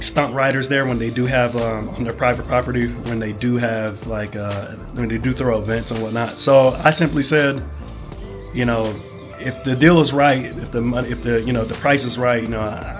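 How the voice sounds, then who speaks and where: A man, sick and a bit hoarse, telling a long rambling story in a casual vlog, full of "you know" and "whatnot". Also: medium pitch. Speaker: motorcycle vlogger.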